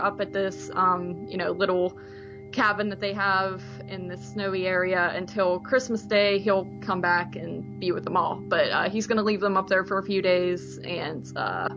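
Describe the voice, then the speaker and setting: A woman's voice: mid-range voice, lo-fi sound. A woman co-hosting a movie podcast, chatting casually about films in an informal, rambling way. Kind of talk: casual film discussion.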